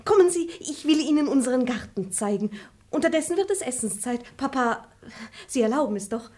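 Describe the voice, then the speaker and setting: An eager, pert young daughter coaxing her papa. Her voice is notably high. A radio play role. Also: expressive, brisk, a little mellow.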